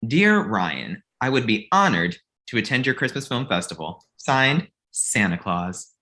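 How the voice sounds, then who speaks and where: A man acts out a naive son in his thirties, earnest about Christmas and a little whiny. The voice is bright and forceful, and it swings up and down freely through the comedy script. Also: medium pitch, very animated, slow pace, full character voice. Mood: hopeful. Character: naive thirty-six-year-old son, childishly devoted to Christmas and Santa. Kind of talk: comedy script table read.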